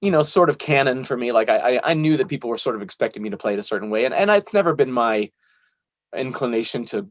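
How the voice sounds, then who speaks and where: A man's voice: mid-range voice, lively intonation, fast talker, no room sound. A man being interviewed as a musician, chatting casually in a rambling, self-deprecating way.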